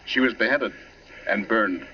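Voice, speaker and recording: An actor playing a wry, talkative doctor relishing grisly tales in theatrical 1940s radio style. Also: male voice, on the low side, measured pace, close to an everyday voice. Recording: dull, noisy vintage radio recording.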